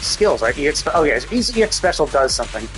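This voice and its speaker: A man's voice: a little high, sing-song, a little fast, fairly bright tone. A man giving casual, sweary live game commentary as a streamer, puzzling things out aloud. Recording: game music behind the voice.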